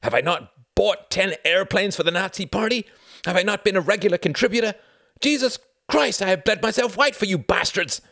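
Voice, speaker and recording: An indignant aristocratic count for an audiobook, pitched well above an everyday male voice. He protests in a spluttering, pleading way, swooping widely in pitch. The sound is close and dry. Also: animated, brisk, gravelly, few pauses, a bit bright, no room sound, heavily acted. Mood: indignant, incredulous. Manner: shrill, spluttering, stammering protests. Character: indignant, pleading German count, cowed aristocrat and war veteran. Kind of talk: audiobook character acting.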